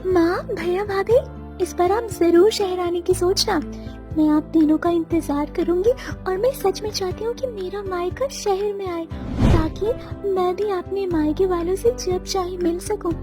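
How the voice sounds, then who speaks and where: Woman voicing a very high, eager young city sister-in-law. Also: a little slow, over music. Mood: sincere, affectionate. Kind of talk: cartoon moral-story voice acting.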